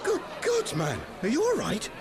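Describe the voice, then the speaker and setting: A man's voice: extremely high pitch, huge pitch swings, normal speed, over a noisy crowd, very noisy, exaggerated voice. An actor playing a plain-spoken, puzzled townsman in a fantasy game, talking in a colloquial, bemused way. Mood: alarmed.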